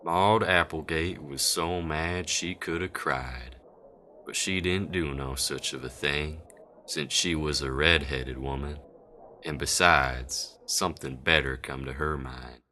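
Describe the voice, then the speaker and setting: A man's voice: very deep voice, takes it slowly, bright, forceful tone, slight background noise. A man narrating a Western folk tale for a radio drama in folksy storyteller phrasing, with music underneath. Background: guitar music.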